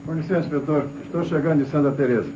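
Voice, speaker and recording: Actor as dark-voiced Brazilian policeman, old radio. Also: a little high, racing, natural voice. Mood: matter-of-fact. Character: matter-of-fact male police officer reporting findings. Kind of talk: old Brazilian radio detective drama acting. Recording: dull old radio recording, faint noise.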